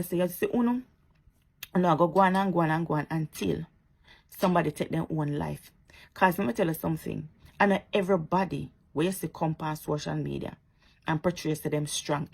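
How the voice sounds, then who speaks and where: A woman's voice: fairly low pitch, creaky, darkish tone, breathy edge. A woman with a Jamaican accent giving impassioned, preachy commentary to her social media viewers, repeating her points and turning sarcastic.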